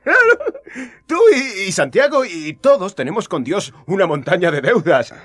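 A man's voice: high-pitched, sing-song, moderate pace, a bit bright, dry recording, full character voice. An actor playing a down-to-earth male storyteller in a radio drama, speaking colloquially. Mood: gently reproving.

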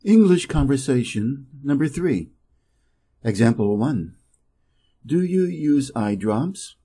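A man from Wisconsin with an American Midwest accent, an English teacher reading practice dialogues slowly and playing both parts. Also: sing-song, mellow, slightly rough. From Wisconsin, USA. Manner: reads both dialogue parts, raising his pitch for speaker A.

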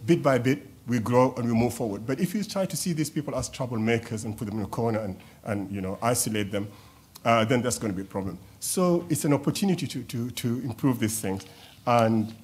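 A man's voice: mid-range voice, a little mellow. Earnest man speaking at a panel. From South Sudan. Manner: earnest, repeats words while searching. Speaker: panel discussant. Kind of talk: panel remarks. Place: conference hall panel table. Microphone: table microphone.

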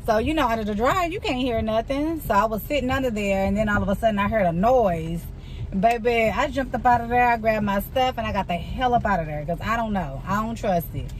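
A woman's voice: on the high side, brisk. Woman, 40s, casual vlog chat, close phone mic, noisy background. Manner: chatty, animated storytelling, addresses viewers as girl. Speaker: hairstylist vlogger. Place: salon at night, then parked car at night. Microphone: phone camera held close.

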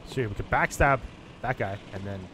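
A man's voice: mid-range voice, moderate pace, noisy background. Chatty man streaming a game. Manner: chatty, reacting to the game and to chat. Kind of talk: casual gameplay commentary. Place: home streaming room. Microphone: headset.

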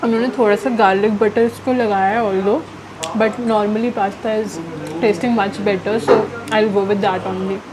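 A woman's voice: mid-range voice, steady tone, slow, crowd noise, low-quality recording. A woman, chatting casually as a food vlogger and switching between two languages. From India. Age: 20s. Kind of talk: casual vlog review chat, mixing Hindi and English. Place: busy cafe dining room. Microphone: clip-on lapel mic. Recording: background music under voice. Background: music.

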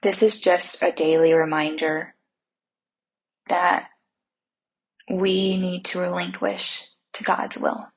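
Very breathy, slow woman guiding meditation. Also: lowish voice, little pitch movement, low-quality recording. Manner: soothing, unhurried, gentle instruction with long pauses. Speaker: guided meditation host.

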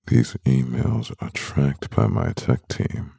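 Man acting stressed devoted boyfriend, CEO, intimate ASMR roleplay, heavy vocal fry, husky, close dry sound. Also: steady tone, takes it slowly, mellow, no echo, full character voice. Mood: amused, flustered. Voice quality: very deep, gravelly, husky.

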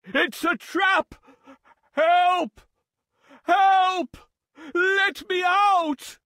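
A man voicing a proud, mighty, boastful lion king in a children's fable, with a theatrical, drawn-out delivery. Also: on the high side, sing-song, fairly bright tone, breathy edge, exaggerated voice. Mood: panicked, pleading.

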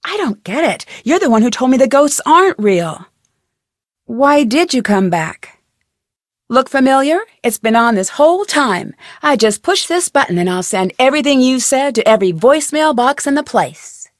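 A woman's voice: high-pitched, lively intonation, moderate pace, no echo, clean studio sound. An actress playing an inquisitive, plucky young female detective in a video game, earnest and questioning. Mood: confrontational, determined. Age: young adult.

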